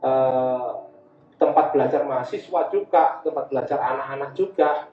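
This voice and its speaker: A man's voice: medium pitch, moderate pace, soft background music. A man with an East Javanese Indonesian accent giving an informal talk as a guest speaker, telling personal anecdotes in a conversational way and repeating phrases. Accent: Javanese Indonesian, East Java. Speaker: guest speaker, community education founder. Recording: dull, echoey, distant from the mic.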